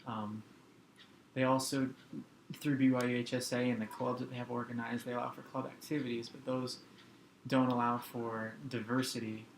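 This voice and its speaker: A young man, an anthropology student, presenting a project in a measured, explanatory way with um pauses. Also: medium pitch, little pitch movement. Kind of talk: semi-scripted presentation. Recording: echoey room with background noise.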